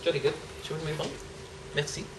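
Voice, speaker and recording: A man moderating a conference session, brisk and polite. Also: fairly high pitch. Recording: echoey hall, distant mic, loud background noise.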